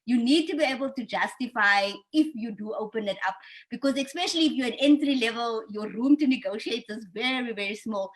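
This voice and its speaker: A woman's voice: a little high, brisk, poor audio. Direct woman giving career advice. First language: Afrikaans. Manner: direct, advising, speaks in frequent restarts. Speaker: panel speaker on careers. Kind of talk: online panel advice talk.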